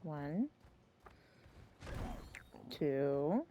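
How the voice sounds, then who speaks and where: A woman's voice: low-pitched, big pitch swings, extremely slow, dull audio, a little hiss or hum. A woman giving casual, reactive let's play commentary, often muttering to herself as a game streamer. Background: game music.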